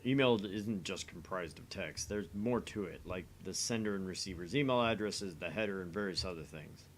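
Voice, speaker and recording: A man's voice: on the low side, a little hiss or hum. A man doing an acted reading of dialogue and narration from a visual novel game. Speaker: voice reader in a visual novel playthrough.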